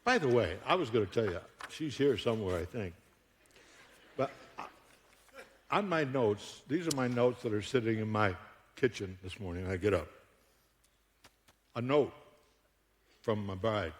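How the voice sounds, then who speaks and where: A man's voice: on the low side, normal speed, pauses often, slight background noise. Joking older man preaching in a hall. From Buffalo, New York. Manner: joking, animated storyteller with frequent laughs. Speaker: guest speaker. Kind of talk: humorous sermon. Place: church auditorium.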